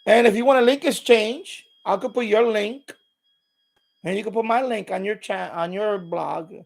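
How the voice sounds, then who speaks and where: Higher-pitched man with a gravelly rasp, rambling. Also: normal speed. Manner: rambling, repetitive, explanatory. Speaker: live stream host. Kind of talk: casual unscripted live stream chat.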